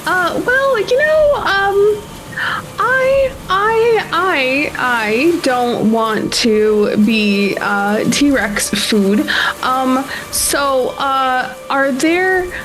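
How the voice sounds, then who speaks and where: A woman playing a tabletop roleplaying game, casually improvising her actions in a halting, nervous-sounding way. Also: sits high, animated, poor audio. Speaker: tabletop RPG player.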